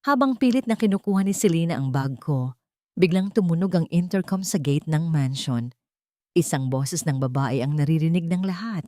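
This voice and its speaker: A woman's voice: deep voice, expressive, moderate pace, dark tone, dry recording, clean studio sound. A woman reading a scripted story in the first person as a dramatic narrator.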